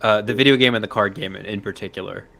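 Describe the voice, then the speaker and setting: Man talking haltingly on a casual panel. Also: fairly low pitch, steady tone, creaky, sharp tone, a little hiss or hum.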